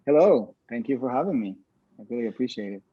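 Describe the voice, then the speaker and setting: A man from Venezuela talking casually as a musician being interviewed, pausing often mid-sentence. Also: animated, breathy edge. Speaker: orchestra violinist, interview guest. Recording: dull, roomy low-fidelity sound.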